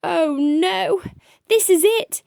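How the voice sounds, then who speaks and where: A woman voicing a frightened, excitable young boy in a children's storybook, with an earnest manner. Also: very high-pitched, slow, creaky, no room sound, voice pushed up, heavily acted. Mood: frightened, panicked. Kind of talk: children's storybook character reading.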